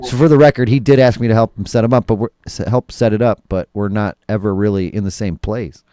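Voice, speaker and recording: A man hosting a casual podcast chat. His voice is going hoarse, and he talks in an excitable, rambling, profane way. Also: lowish voice, quick pace, no echo, clean studio sound. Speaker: podcast host.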